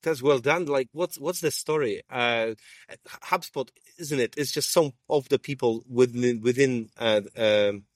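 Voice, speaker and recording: Halting, dry-voiced male podcast host. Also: medium pitch, no room sound. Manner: halting, many fillers and restarts. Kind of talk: casual interview. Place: sunny rooftop at a conference.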